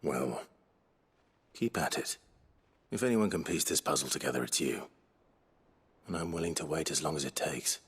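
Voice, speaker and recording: An actor with an English accent playing a brooding, dutiful fantasy game hero, measured and earnest. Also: male voice, very deep voice, animated, breathy edge, full character voice. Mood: calm, reassuring. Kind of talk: video game drama acting.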